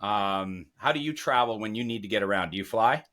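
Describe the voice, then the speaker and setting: A man's voice: fairly low pitch, animated, brisk, fairly bright tone. A man hosting a podcast, chatting enthusiastically with a guest and offering tips and asides. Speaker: podcast host. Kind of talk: casual podcast interview.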